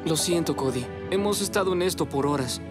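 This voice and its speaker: A man's voice: on the high side, fairly quick, close to an everyday voice. Practical rescuer played by a man over music. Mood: weary. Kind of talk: animated cartoon dub.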